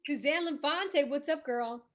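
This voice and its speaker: An excitable, effusive woman bantering casually as co-host of a live online comedy show. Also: sits high, measured pace.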